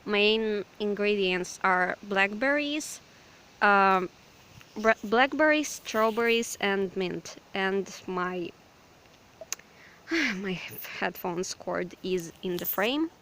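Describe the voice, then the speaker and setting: Hoarse, apologetic Ukrainian young woman. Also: medium pitch, expressive, slow, a bit bright, a little hiss or hum. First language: Russian. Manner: hesitant, apologetic, lots of fillers. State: hoarse, sick. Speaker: vlogger. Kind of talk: casual rambling chat. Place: outdoors in a forest.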